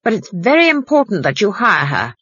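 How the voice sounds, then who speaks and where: A woman voicing a shrewd, stern old witch who speaks in a brisk, grim, commanding way. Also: mid-range voice, sing-song, fairly bright tone, slightly rough, dry recording, lo-fi sound, pitched above the performer's own voice.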